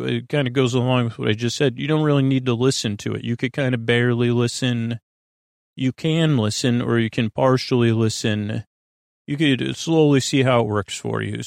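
A man hosts a bedtime podcast in a gravelly, fry-laden voice at an ordinary male pitch. He wanders through his monologue, halting and doubling back on his own sentences. The sound is dry and close, with little room around it. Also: dry recording. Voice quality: creaky. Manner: meandering, halting, self-interrupting. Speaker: podcast host. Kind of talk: rambling sleep podcast monologue.

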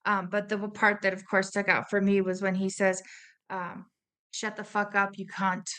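A woman's voice: mid-range voice, level tone, normal speed, a bit bright. A woman guesting on a podcast, chatting casually in a breezy, unabashedly sweary way. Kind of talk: casual chat.

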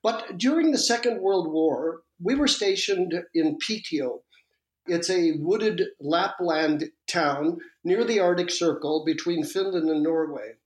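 An older man from Sweden, raised in Canada, talking slowly as an interview guest with many pauses. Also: a little high, echoey room, poor audio. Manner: measured, with frequent pauses and uhs. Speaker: guest, industrial designer. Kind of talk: reflective interview talk.

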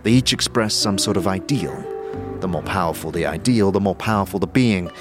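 A man voicing a patient, learned sage in a fantasy audio drama, lecturing formally and deliberately. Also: low-pitched, lightly acted. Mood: earnest, instructive. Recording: music behind the voice.

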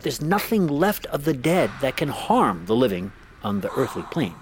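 Man acting a troubled, animated Southern priest. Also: medium pitch, normal speed, a little hiss or hum, lightly acted. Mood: uneasy, stammering. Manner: hesitant, stammering, solemn. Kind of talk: old-time radio drama acting.

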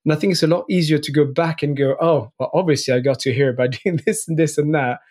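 A man in his forties from France, with a mixed French and Northern Irish accent, chatting relaxed as an interview guest, often restarting and laughing lightly. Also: fairly high pitch, fast. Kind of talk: casual interview chat.

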